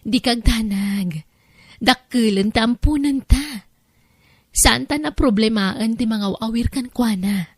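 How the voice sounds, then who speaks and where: A woman's voice: a little high, slow pace, slightly rough, no room sound, studio quality, full character voice. An Ilocano-accented woman playing a coaxing, insistent wife in a radio-style drama, speaking emphatically.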